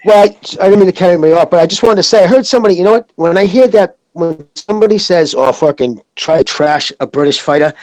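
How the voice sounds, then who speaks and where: A man's voice: sits high, quick pace, few pauses, darkish tone, dry recording, rough recording. A blunt, sweary man from the United States in a casual, rambling sports chat. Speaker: podcast panelist.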